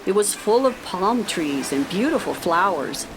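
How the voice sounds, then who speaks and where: A woman's voice: mid-range voice, very animated, unhurried, music in the background, poor audio. A woman narrator reading a scripted children's story.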